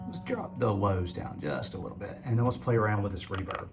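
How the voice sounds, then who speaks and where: A man with a low voice giving a casual tutorial, talking through settings as he adjusts them. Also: brisk, over music. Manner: relaxed, talks while adjusting settings. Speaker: musician demonstrating gear.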